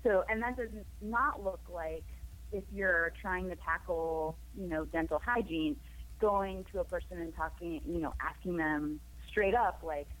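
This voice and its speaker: A woman guest, hoarse from a cold, explaining her work in an upbeat way. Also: fairly low pitch, a little slow, rough recording. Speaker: program manager, guest. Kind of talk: phone interview.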